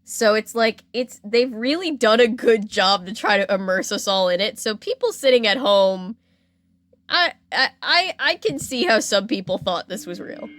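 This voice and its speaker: A woman podcast host telling a story in a casual, animated and jokey way. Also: a little high, normal speed, no echo, studio quality. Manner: animated, jokey, acts out quotes.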